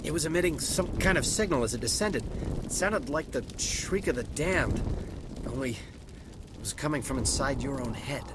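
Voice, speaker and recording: An actor playing a shaken but defensive colony farmer in a video game, earnest and plain-spoken. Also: male voice, medium pitch, animated, normal speed, heavy background noise, lightly acted. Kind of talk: dramatic video game acting.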